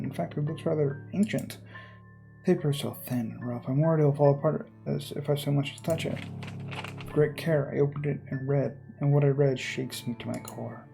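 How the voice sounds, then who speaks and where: Mellow, creaky-voiced man narrating horror fiction. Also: on the high side, poor audio. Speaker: let's player reading a visual novel aloud. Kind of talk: dramatic read-aloud narration of a horror visual novel.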